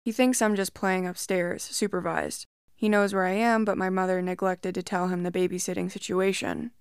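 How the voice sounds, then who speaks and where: A woman narrating a first-person creepy story in a calm, measured storytelling voice. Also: medium pitch, smooth, no room sound, clean studio sound.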